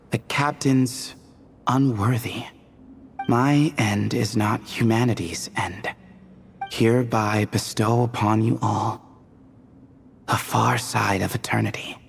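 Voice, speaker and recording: A woman's voice: very deep voice, fairly even intonation, slow pace, gravelly, long pauses, breathy edge, full character voice. A woman voicing a playful, knowledgeable young desert guide in a video game, explaining things expressively. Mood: thoughtful. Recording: game music behind the voice.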